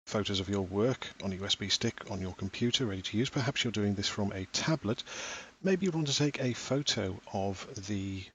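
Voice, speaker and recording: A man in his thirties, a parent from Wales, walking through a school software platform step by step in a patient, explaining voice. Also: on the low side, fairly quick, slight background noise. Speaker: parent giving a tutorial. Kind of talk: screen-recorded software tutorial.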